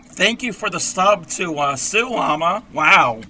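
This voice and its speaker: Hoarse, chatty man with a bright voice. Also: high-pitched, animated, unhurried, slight background noise. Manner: rambling, chatty, frequent throat-clearing. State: hoarse, scratchy voice. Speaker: crochet livestream host. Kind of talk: casual crochet tutorial, chatting with viewers.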